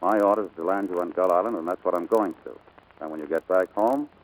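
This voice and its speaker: Man playing brash American aviator, very quick delivery, cocky, lovestruck, insistent, 1940s radio drama. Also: deep voice, close to an everyday voice, low-quality recording. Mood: steady, reassuring. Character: brash, lovestruck male flyer and navigator.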